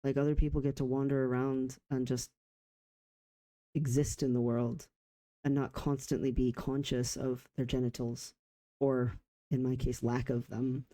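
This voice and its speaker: A man of about forty talking reflectively in a personal vlog, halting in short phrases with pauses. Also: medium pitch, flat delivery, dark tone. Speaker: vlogger.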